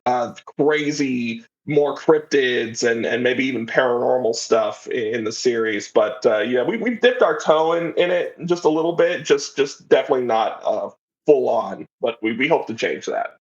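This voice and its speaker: A man speaks in a slightly dull, lo-fi recording, at a medium pitch. He chats informally as an interview guest, rambling through his stories in a conversational style. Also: animated, normal speed. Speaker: podcast guest, audio drama writer. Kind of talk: casual interview chat.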